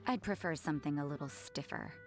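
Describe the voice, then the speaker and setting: A woman playing an aloof, world-weary young nun in a game, deadpan and curt. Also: low voice, quick pace, soft background music. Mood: dry. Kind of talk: game cutscene voice acting.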